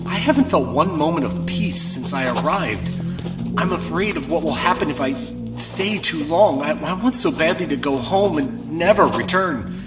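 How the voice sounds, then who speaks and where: A man acting a nervous young traveling agent in an audio drama, hesitant and prone to stammering. His voice sits a bit high and moves a lot in pitch. It sounds distant from the mic, with loud music playing over it. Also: expressive, moderate pace, close to an everyday voice. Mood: fearful.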